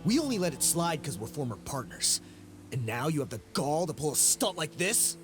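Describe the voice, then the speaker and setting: A snarling, bitter crook. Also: male voice, a little high, sing-song, moderate pace. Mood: bitter, angry. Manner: accusing, snarling, sarcastic. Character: bitter, hot-tempered male ex-convict crook. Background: game music.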